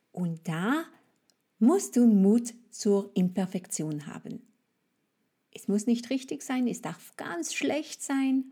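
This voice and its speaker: A woman, a native French speaker with a French accent, presents a language lesson as a podcast coach, speaking to the listener directly in deliberate, instructive phrases. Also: medium pitch, mellow, husky.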